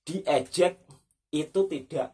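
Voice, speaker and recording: A man with a markedly rough, husky voice. He hosts in a conversational commentary style, stressing points by repetition and checking for agreement with short tag questions. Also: fairly high pitch, slow pace, dark tone, far from the mic. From Indonesia. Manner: emphatic, repeats points, frequent tag questions. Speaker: host of a reaction talk.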